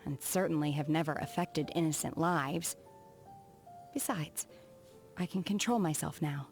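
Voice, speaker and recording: A reserved young female apprentice of immortals for a video game. Her voice sits a little low, soft-spoken and formal, with measured, aloof delivery. Music plays behind the lines. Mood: calm, reassuring.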